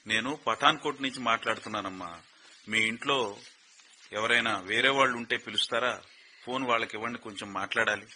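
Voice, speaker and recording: An air-base commander in a radio play who speaks with formal, grave care on a phone call. His voice is sharp and bright but slightly husky. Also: mid-range voice, brisk. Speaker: military officer.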